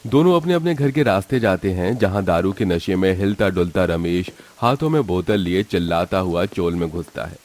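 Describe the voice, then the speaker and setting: A man's voice: deep voice, normal speed, hardly any pauses, a little mellow. A man reading scripted narration for a dramatic story in a storyteller's manner.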